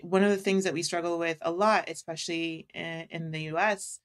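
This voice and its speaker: A woman's voice: fairly low pitch, fairly even intonation, brisk. A woman in her forties talking casually and reflectively as a podcast guest, thinking aloud with plenty of fillers. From Jamaica. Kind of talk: casual conversation.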